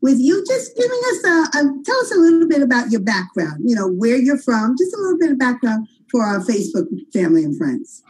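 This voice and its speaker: A woman's voice: on the high side, big pitch swings, a little fast, hardly any pauses, darkish tone, rough recording. A woman co-hosting an interview show, enthusiastic and full of praise, laughing easily as she talks. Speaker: co-host. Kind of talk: casual interview chat.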